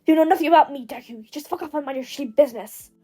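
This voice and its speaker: A woman's voice: high voice, rapid-fire, mellow, faint music behind, lightly acted. A woman voicing a short-tempered, defiant teenage boy in an audiobook, curt and snapping. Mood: defensive anger.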